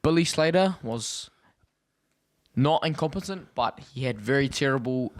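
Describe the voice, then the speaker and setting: A man's voice: mid-range voice, expressive, normal speed, a little hiss or hum. A man appearing as a returning podcast guest, giving blunt, opinionated takes on sport. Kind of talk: casual podcast banter.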